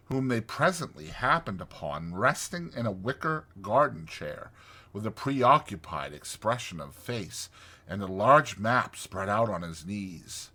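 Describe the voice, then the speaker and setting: A man's voice: lowish voice, sharp tone. A man narrating a classic storybook as a dramatized audiobook reading.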